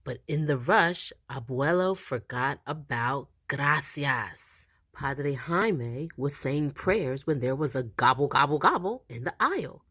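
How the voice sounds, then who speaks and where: A woman reading a picture book aloud to young children in an animated, expressive storytelling style. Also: very deep voice, slow pace, hardly any pauses, husky. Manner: animated, acts out the dialogue.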